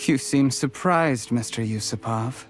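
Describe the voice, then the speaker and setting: An actor playing an ambitious young male official in a video game, polite and measured with a coldly controlled manner. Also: medium pitch, over music, natural voice. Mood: cold, bitter. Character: ambitious, resentful young male official, outwardly obedient schemer.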